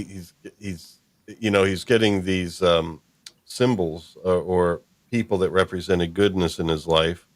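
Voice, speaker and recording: A man's voice: deep voice, no echo. A man hosting a casual discussion about a story, talking in an informal, hesitant, rambling way. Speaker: podcast host.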